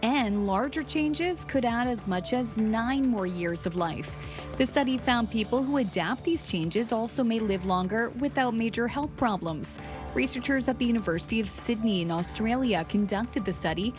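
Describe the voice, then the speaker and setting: Woman reading health news over music. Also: fairly high pitch. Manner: crisp, measured. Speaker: health reporter.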